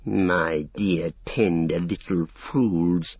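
A man's voice: low-pitched, fairly even intonation, very slow, slightly rough, dry recording, dull audio, heavily acted. A man voicing a menacing, greedy orc in an audiobook, hissing and drawing out mock-tender words with cruel relish. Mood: mocking cruelty. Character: sly, menacing male orc, greedy, falsely sweet, cruel. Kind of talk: audiobook fantasy villain.

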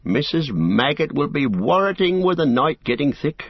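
A man voicing a stout older hobbit farmer with a gruff, rustic manner. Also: fairly high pitch, very animated, moderate pace, no room sound, highs cut off, pitched above the performer's own voice, full character voice.

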